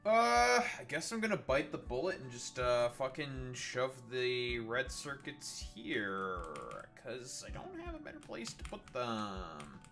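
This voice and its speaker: A man's voice: medium pitch, sing-song, slow pace, gravelly, fairly bright tone, soft background music. A man casually commenting on his gameplay, swearing freely and rambling with restarts. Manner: profane, rambling, self-interrupting. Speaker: gaming streamer.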